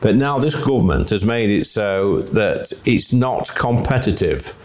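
A middle-aged man hosts a radio talk segment. His voice sits very low, and he asks short, blunt questions that push back on his guest. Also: animated, measured pace, darkish tone. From North Nottinghamshire, England. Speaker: radio host. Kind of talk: radio phone-in interview.

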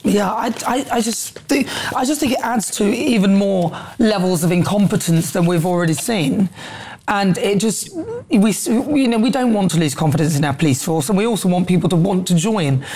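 A woman presenting and hosting live TV news in a brisk, lilting way, giving her own opinions as she interviews a guest. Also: mid-range voice, mellow.

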